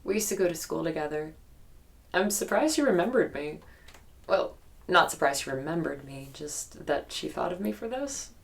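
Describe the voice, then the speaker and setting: Guarded, low-voiced young woman, creaky. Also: expressive. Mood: wistful, guarded. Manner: hesitant, reflective storytelling with pauses. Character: guarded young woman recounting a haunted childhood memory. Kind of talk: naturalistic documentary-style audio drama interview.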